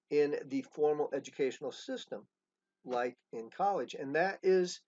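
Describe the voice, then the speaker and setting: Older male trainer, slightly high voice, halting delivery, muffled lo-fi recording. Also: a little mellow. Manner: halting, with many restarts and pauses. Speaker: education trainer and coach. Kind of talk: unscripted pitch to school staff. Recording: dull lo-fi recording.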